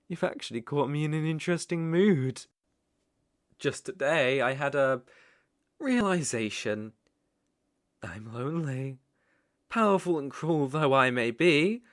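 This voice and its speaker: A man's voice: medium pitch, dry recording, clean studio sound. A man with a British accent playing a cruel, arrogant, mocking evil sorcerer in an ASMR roleplay, speaking in a theatrical, condescending way. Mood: sinister, musing. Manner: slow, theatrical, menacing, condescending. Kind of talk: ASMR roleplay, dramatic monologue to listener.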